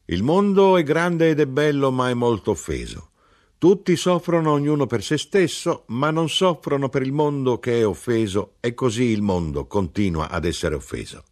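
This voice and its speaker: A man's voice: mid-range voice, expressive, moderate pace, a little mellow, pitched above the performer's own voice, lightly acted. A man voicing a small, sorrowful old man who speaks solemnly, as if preaching. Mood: mournful solemn.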